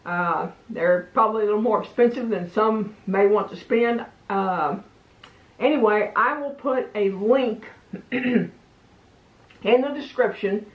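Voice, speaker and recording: A woman's voice: medium pitch, slow. A woman giving a casual hands-on product review in a plain-spoken, folksy, meandering way. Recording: echoey room, slightly muffled sound.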